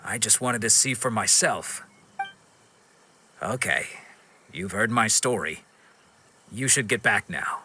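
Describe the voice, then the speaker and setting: A man playing a solemn, reflective swordsman in a video game, recounting things in a measured way. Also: on the low side, animated, pushed and bright, slight background noise, exaggerated voice. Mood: wistful, reflective. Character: sombre, reflective male wandering swordsman recounting his past.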